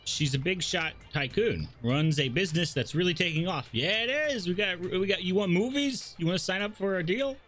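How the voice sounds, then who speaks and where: Higher-pitched male streamer, irreverent and slangy. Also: brisk, few pauses, a bit bright. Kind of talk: casual game livestream, reading dialogue and reacting. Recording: game music behind the voice.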